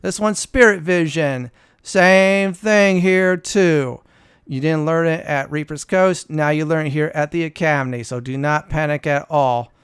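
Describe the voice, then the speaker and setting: A man's voice: fairly high pitch, unhurried, fairly bright tone, clean tone, no room sound, studio quality. A man hosting a gaming channel, giving casual, instructive walkthrough commentary. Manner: informal, instructive.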